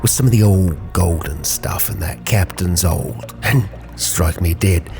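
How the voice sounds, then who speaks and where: A man acts out a smooth-talking gangster dealer from a narrated game tale, all florid flattery and false friendship. His theatrical speech is fairly low-pitched, with music clearly playing behind it. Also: a little slow. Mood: oily, effusive.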